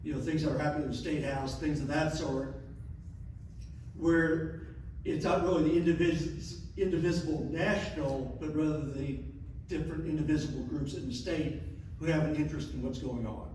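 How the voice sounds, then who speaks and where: A man's voice: on the high side, steady tone, normal speed, darkish tone, slight background noise. A man giving a conversational update at a community meeting, recorded in a meeting room. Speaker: group member giving an update. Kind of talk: informal meeting report. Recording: echoey room, distant mic.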